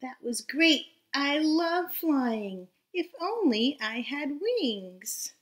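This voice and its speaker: A woman's voice: sits high, sing-song, drawn-out words, pitched above the performer's own voice, exaggerated voice. Woman voicing an eager little slug boy. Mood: delighted. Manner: eager and breathless with wonder. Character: wide-eyed, excitable little slug boy.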